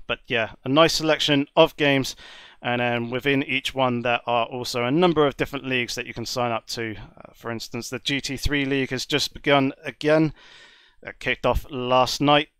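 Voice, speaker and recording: A man commentating a sim racing broadcast, speaking in a measured, polite and wordy way while nursing a cold that leaves him a little congested. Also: medium pitch, a bit bright, smooth, no room sound. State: has a cold, slightly congested. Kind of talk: live esports race commentary.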